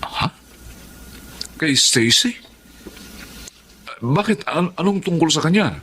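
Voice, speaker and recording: Filipino radio drama husband, trusting yet hot-tempered, slow and expressive delivery over clear background noise. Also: male voice, a little high, exaggerated voice. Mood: puzzled. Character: trusting husband, shocked and hot-tempered when told of betrayal.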